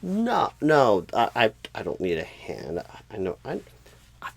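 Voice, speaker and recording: A man voicing a clueless, flustered job recruiter in an ASMR comedy roleplay, stammering and pausing oddly in a husky, creaky voice. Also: on the low side, huge pitch swings, full character voice. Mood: flustered denial. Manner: halting, stammering, odd pauses and sudden swoops. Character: bumbling, clueless, flustered male job recruiter, celebrity impression.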